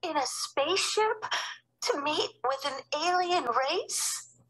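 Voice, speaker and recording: Woman as an anxious, exclaiming storybook mother, pitched up. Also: expressive, takes it slowly, a bit bright, full character voice, poor audio. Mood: shocked. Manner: gasping, exclaiming. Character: polite, anxious mother, concerned and easily astonished. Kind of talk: children's book read-aloud.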